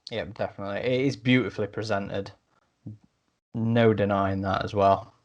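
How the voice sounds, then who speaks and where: A man's voice: on the low side, fairly even intonation, normal speed, a little mellow. A man hosting a podcast, talking through a review in a casual, enthusiastic and conversational way. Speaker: podcast host.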